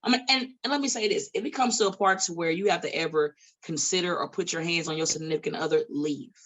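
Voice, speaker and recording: A woman's voice: fairly low pitch, fast talker, a bit bright. A woman in her late thirties, a guest on a podcast, talking bluntly and with lots of swearing in a casual chat. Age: 30s. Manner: blunt and profane, preachy asides. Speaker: guest, independent wrestler. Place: studio table.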